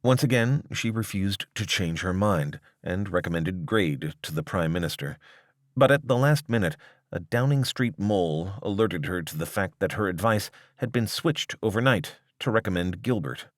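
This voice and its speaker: A man reading a long-form news article as scripted narration, in a measured, articulate and formal way. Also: sits low, expressive, no room sound, clean studio sound.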